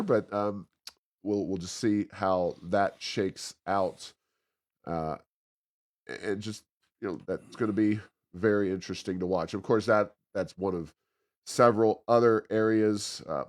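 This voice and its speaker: An American man co-hosting a conversational political commentary podcast, speaking in a measured, halting, hedging way. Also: fairly low pitch, no room sound, clean studio sound.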